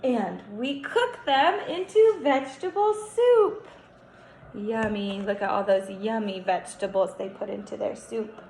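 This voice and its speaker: A young woman reads aloud to small children as their teacher, slow and deliberate, with noticeable creak in her voice. She stretches key words and explains as she goes. The room rings around her voice. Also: medium pitch. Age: young adult. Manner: animated, instructive, stretches words for emphasis. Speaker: kindergarten teacher. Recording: roomy echo, faint background noise.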